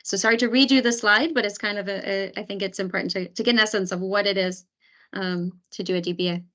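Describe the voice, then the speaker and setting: A woman's voice: mid-range voice, fairly even intonation, fast, pushed and bright. A woman hosting an online webinar as program advisor, talking with many fillers and restarts over a video call. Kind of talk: webinar presentation.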